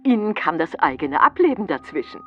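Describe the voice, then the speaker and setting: A grave lecturer on ghost lore in a children's audio drama. The voice is low with big, emphatic swings, solemn and didactic. The sound is dull and narrow, with music behind. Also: female voice, big pitch swings, a little fast. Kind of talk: mock-scientific lecture. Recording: muffled narrow-band sound over music.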